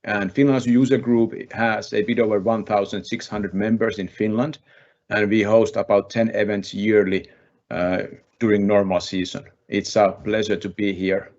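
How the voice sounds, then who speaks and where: A man, a company founder, introducing himself on a panel in a brisk, matter-of-fact way. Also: fairly low pitch, level tone, a little mellow. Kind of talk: self-introduction. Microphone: video call. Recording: muffled video-call audio.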